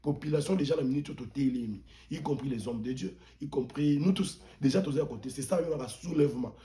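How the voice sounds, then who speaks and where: A man's voice: mid-range voice, fast, warm tone. A Congolese man, a pastor, giving impassioned political commentary as an interview guest, emphatic and indignant, repeating words for stress and switching languages mid-sentence. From Democratic Republic of the Congo.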